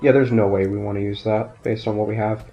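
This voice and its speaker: A man calmly commentating his gameplay, thinking aloud, his voice nasal and raspy from a sinus infection. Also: on the low side, fairly quick. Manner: calm, explanatory, thinking aloud. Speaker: let's play commentator. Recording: dull lo-fi audio, faint game music.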